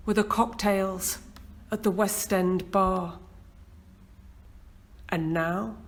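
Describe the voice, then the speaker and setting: A middle-aged woman reciting her own poem in a slow, measured, expressive way. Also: mid-range voice. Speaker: poet. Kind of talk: poetry reading.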